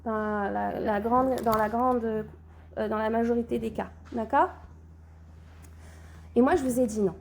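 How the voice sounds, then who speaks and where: Woman teacher with a cold, high warm voice, faint room hum behind. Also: steady tone, unhurried, gravelly, pauses often. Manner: questions the class, then answers, often saying "d'accord?". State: has a cold. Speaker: religious teacher. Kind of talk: recorded Torah class.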